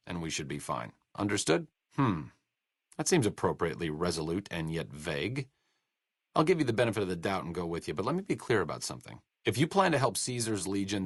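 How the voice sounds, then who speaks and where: An actor playing a sardonic young scholar and medic in a video game, with dry, sarcastic wit. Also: male voice, fairly low pitch, a bit bright, no room sound, exaggerated voice. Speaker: game companion character. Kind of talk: studio video game voice acting.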